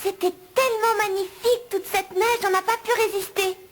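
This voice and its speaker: Very high, gentle cartoon girl. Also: fairly even intonation, fairly quick, long reverb tail, noisy background. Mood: cheerful. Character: sweet, sensible young girl, a cartoon heroine. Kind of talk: French cartoon dubbing.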